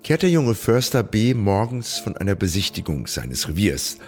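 An actor in a German radio play playing a commanding landlord who also narrates in a literary, dramatic style. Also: male voice, sing-song, moderate pace, faint music behind. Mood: grave narrating. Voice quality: low-pitched. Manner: dramatic, varied delivery.

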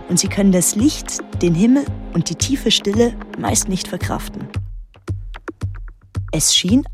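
A woman's voice: medium pitch, a little mellow, natural voice. Reflective woman narrator over music acted. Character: solitary young island woman, watchful and introspective, narrating. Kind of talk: literary radio drama narration.

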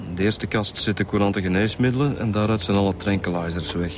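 A man's voice: lowish voice, fast, noisy background, close to an everyday voice. A man playing a methodical, matter-of-fact homicide detective in a radio drama, speaking with a Flemish accent. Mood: factual, grave. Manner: methodical, factual. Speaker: inspector.

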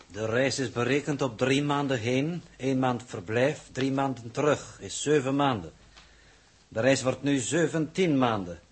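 A man acting a coldly precise, formal mission coordinator in 1960s radio drama, measured and pedantic in manner. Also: mid-range voice, slightly rough, lightly acted. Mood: dry, formal.

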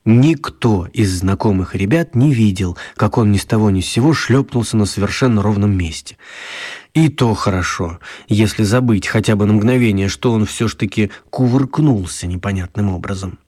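A male actor as the storyteller of a children's radio play, narrating expressively in a husky voice. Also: fairly low pitch, moderate pace, a little mellow, lightly acted. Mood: storytelling.

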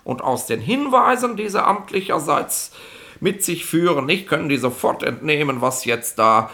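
An actor in a 1960s German radio comedy playing a chatty, self-important police inspector who explains things in rambling, condescending colloquial speech. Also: male voice, on the high side, lively intonation, normal speed, pushed and bright. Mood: condescending, explaining. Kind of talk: 1960s German comic radio play acting.

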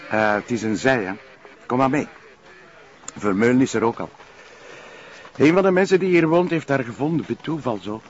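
A man's voice: mid-range voice, lively intonation, moderate pace, long pauses, natural voice. Mild Flemish policeman, played by an actor. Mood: calm, informative. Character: polite, matter-of-fact male police sidekick. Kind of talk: naturalistic television crime drama acting. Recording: dull soundtrack with faint outdoor noise.

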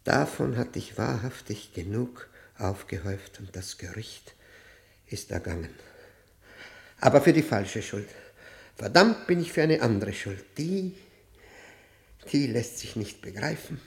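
A man's voice: mid-range voice, expressive, creaky. Tormented, guilt-ridden man confessing. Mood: despairing. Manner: halting, brooding, emphatic, repeats words. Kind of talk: theatrical German radio play monologue.

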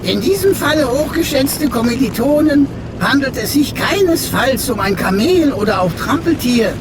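Know-it-all machine sidekick: high, very animated, mock-academic, heavy engine noise around it. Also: normal speed. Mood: pompous, lecturing. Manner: pompous mock-professorial, flowery. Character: chatty, pedantic, playful talking pocket computer sidekick.

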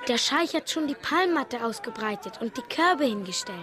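An excited onlooker played by a woman exclaims in a high voice. The delivery is animated radio-play acting. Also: normal speed, over music, close to an everyday voice. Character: excited onlooker marvelling at the donations.

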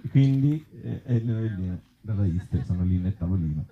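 A man's voice: low-pitched, lively intonation, normal speed. A man with a Tuscan accent, an author and actor performing and chatting live in a wry, self-mocking way with hesitant asides. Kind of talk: live reading of absurd comic pieces and casual talk with audience. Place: outdoor festival event. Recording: muffled, dull live recording.

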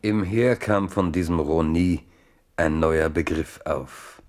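A man playing the measured, serious narrator of a historical radio play. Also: sits low, darkish tone, a little hiss or hum.

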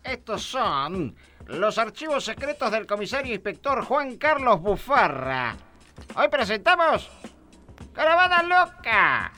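A man puts on a melodramatic radio serial narrator with a very high, swooping voice. It rings out in long hall reverb over music. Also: very animated, takes it slowly, pushed and bright, exaggerated voice. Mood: grand, announcing. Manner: theatrical, melodramatic.

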